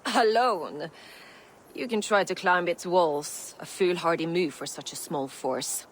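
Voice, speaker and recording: Brief: actress as a low-voiced, amused woman scout, dry and knowing, faint outdoor hum. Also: a little slow, close to an everyday voice. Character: wry woman scout near a castle. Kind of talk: video game cutscene acting.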